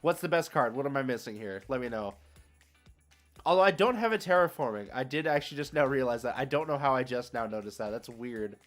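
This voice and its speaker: A man's voice: mid-range voice, lively intonation, a little fast, faint music behind. A man live streaming a game, chatting casually with viewers in a rambling, conversational, slangy way. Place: indoors, solo at a streaming setup.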